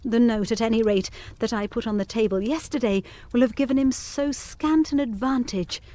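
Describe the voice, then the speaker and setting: A woman's voice: medium pitch, warm tone, natural voice. A woman playing an earnest, anxiously determined young governess in an audio drama, speaking formally and urgently. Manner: formal, literary phrasing, urgent. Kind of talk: audio drama acting of Victorian gothic ghost story.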